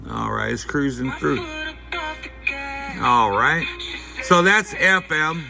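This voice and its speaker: A man giving a casual, unscripted product demonstration, talking in a halting, folksy way as he thinks aloud. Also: medium pitch, lively intonation, vocal fry, music in the background. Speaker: host of a gear review channel. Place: indoors at home at night. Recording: faint background noise.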